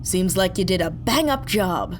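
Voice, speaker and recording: A woman's voice: on the low side, lively intonation, unhurried, noisy background. An actress playing a skeptical, sarcastic, defiant woman on a space mission in a sci-fi audio drama. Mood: challenging. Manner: sarcastic, challenging. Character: defiant, skeptical female space-mission survivor, sharp-tongued.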